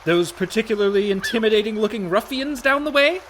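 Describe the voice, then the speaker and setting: Man playing a high-voiced, fretful younger brother. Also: lively intonation, brisk, fairly bright tone, a little hiss or hum. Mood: worried, exasperated. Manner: sarcastic, fretful. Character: anxious, sarcastic younger brother, reluctant con man. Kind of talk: comic radio play acting.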